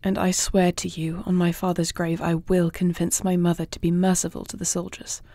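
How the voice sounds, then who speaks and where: A woman playing a poised young warrior princess in a fantasy audio roleplay, speaking with formal, measured, regal diction. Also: on the low side, monotone, dark tone, no room sound. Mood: resolute. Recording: faint night cricket ambience.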